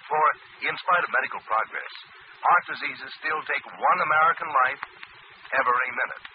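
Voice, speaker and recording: A man's voice: mid-range voice, background noise. Tinny old-time male radio announcer. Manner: earnest, measured, formal. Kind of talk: 1940s-50s scripted public service announcement.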